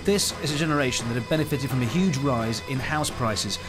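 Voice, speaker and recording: A man reads a travel report as voice-over in a measured, even tone. Music plays clearly behind him. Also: medium pitch. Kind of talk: scripted narration.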